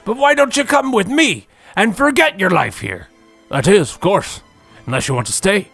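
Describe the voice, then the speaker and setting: A man's voice: sits high, animated, moderate pace, creaky, fairly bright tone, faint music behind, exaggerated voice. A man voicing a boisterous, crude but kindly half-giant groundskeeper in hearty rustic British dialect, in a comedy parody. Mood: warm, coaxing.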